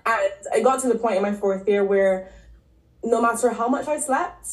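A woman from Barbados, a podcast guest and health coach, telling her story in a relaxed, casual way with frequent ums and likes. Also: on the high side, normal speed. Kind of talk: casual interview talk. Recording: echoey room.

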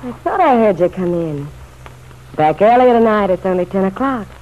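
A woman's voice: medium pitch, animated, moderate pace, natural voice. An actress playing an anxious, world-weary working-class woman in an old radio drama, with pleading, colloquial speech. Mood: eager, fussing. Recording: muffled, noisy old radio recording.

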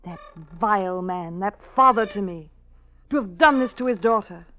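An actress playing an aggrieved, brooding wife and disinherited daughter in a vintage radio drama, with theatrical archaic phrasing. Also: female voice, mid-range voice, normal speed, pushed and bright, close to an everyday voice. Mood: bitter. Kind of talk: 1950s radio drama acting. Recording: dull old radio recording.

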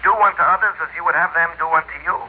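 A man's voice: high-pitched, very fast, a little hiss or hum, close to an everyday voice. Man acting an agitated, suspicious businessman, tinny old radio.

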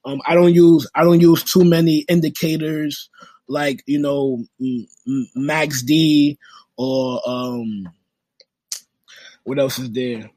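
A young man from New with a husky voice, a podcast guest talking earnestly and motivationally in casual slang. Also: fairly high pitch, fairly even intonation, slow pace, mellow. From New York. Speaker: guest, music industry worker and forex trader.

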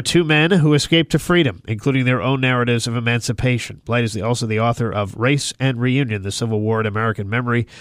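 A man's voice: medium pitch, no room sound, clean studio sound. A man hosting a book interview show, reading prepared introductions and putting questions to a guest. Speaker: radio host interviewing authors.

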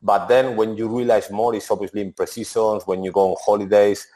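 A man's voice: fairly low pitch, little pitch movement, fairly quick, mellow, poor audio. A man in his forties, a former footballer and interview guest, speaking with many restarts and "to be honest". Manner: non-native English, frequent "to be honest" and restarts. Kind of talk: relaxed interview answers.

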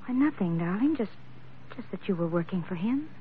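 A woman's voice: mid-range voice, expressive, a little fast, phone-quality sound, natural voice. An actress playing a devoted, anxious young woman in love, pleading and hesitant, in 1940s radio drama style. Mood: worried. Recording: thin, faintly noisy vintage radio recording.

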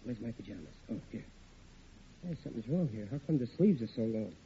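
An actor playing a bumbling, folksy American working-class husband who grumbles in ungrammatical talk on an old radio sitcom. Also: male voice, mid-range voice, animated, brisk, warm tone, breathy edge, lo-fi sound. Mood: tired, then worried. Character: blustery, bumbling working-class family man at an aircraft plant. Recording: thin, noisy vintage radio recording.

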